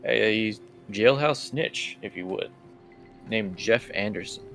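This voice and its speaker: A man voicing a smooth, condescending crime family boss in a tabletop roleplay, speaking deliberately and formally. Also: on the low side, fairly bright tone, soft background music, heavily acted. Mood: calmly menacing. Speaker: guest game master.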